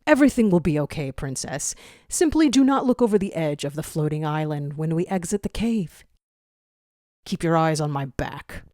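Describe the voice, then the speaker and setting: A woman's voice: low-pitched, lively intonation, normal speed, dark tone, dry recording, clean studio sound. Woman acting a stern, haughty echidna clan guard. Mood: stern reassurance. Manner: stern, disdainful.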